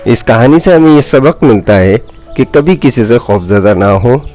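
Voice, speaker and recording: Man, medium pitch, children's story narration, scripted, music behind. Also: animated, moderate pace, mellow.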